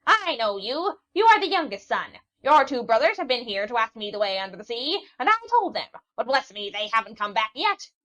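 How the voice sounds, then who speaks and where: A woman's voice: big pitch swings, brisk, hardly any pauses, bright, forceful tone. A woman voicing a cranky ancient sea witch who shrieks, scolds and rambles in a storybook reading. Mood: shrill scolding. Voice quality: shrill, screeching. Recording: dull lo-fi recording.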